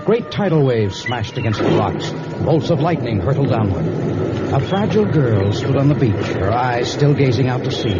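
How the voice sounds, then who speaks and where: A man's voice: mid-range voice, heavy background noise. A man giving dramatic scripted narration for a vintage radio serial. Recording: dull vintage radio recording. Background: loud dramatic music.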